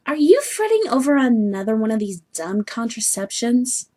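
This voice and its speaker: A woman voicing an earnest, bubbly young female troll heiress from the sea, with expressive scolding, in an amateur audition style. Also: a little high, unhurried, breathy edge, heavily acted. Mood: exasperated, concerned. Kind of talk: amateur animated-webcomic voice audition acting.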